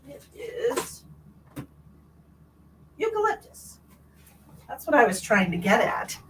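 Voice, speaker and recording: A woman's voice: on the high side, sing-song, takes it slowly, background noise. A woman hosting a tarot livestream, chatting casually and rambling as she answers viewer comments, a little stuffy-nosed, talking from her car. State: stuffy nose.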